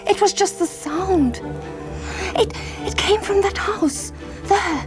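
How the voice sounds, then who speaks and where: A woman acts out an amazed bystander in a children's Bible story. The voice is high, breathy and full of awe, over loud music. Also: slow pace. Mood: hushed wonder. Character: awestruck onlooker in a Bible story.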